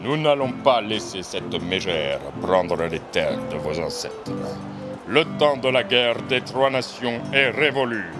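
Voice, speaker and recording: An actor playing a proud, resolute dwarf leader with formal, declamatory speech in a fantasy audio drama. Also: male voice, fairly low pitch, animated, unhurried. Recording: voice under clear music.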